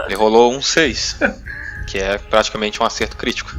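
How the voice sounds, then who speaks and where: A man's voice: fairly low pitch, fairly bright tone. A young Brazilian man improvising tabletop RPG narration as game master, hesitantly searching for his words.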